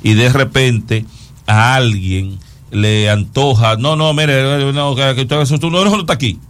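A man's voice: medium pitch, measured pace. A man with a Dominican accent giving conversational news commentary as a talk show host, explaining things and repeating words for emphasis.

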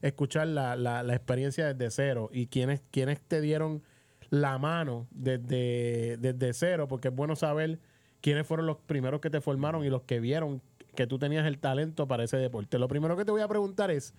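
A man's voice: mid-range voice, moderate pace, darkish tone. A man with a Puerto Rican accent hosting a casual sports interview on the radio, chatty and often repeating words as he works out his phrasing. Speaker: radio show host and interviewer. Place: radio booth.

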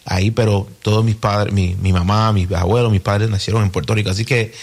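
A man from New Jersey answers interview questions about his family and music. He talks in a relaxed, rambling way, with a fairly low voice. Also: fairly quick, breathy edge. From New Jersey, United States. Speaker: musician guest. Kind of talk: casual interview.

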